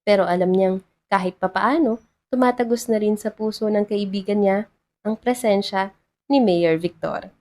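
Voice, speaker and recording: A woman's voice: mid-range voice, normal speed, darkish tone. A woman giving scripted, dramatic narration of a love story as the storyteller.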